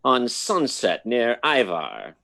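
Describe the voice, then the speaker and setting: A man's voice: medium pitch, huge pitch swings, takes it slowly, vocal fry, pushed and bright, no room sound, clean studio sound, exaggerated voice. An actor playing a prim, wealthy old man in a radio play, with formal, fussy, hesitant phrasing. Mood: fussy, concerned. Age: older.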